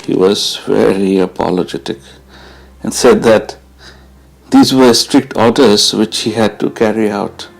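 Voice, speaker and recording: A man giving a measured, deliberate dramatised reading of a first-person memoir, as narrator. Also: lowish voice, gravelly, poor audio.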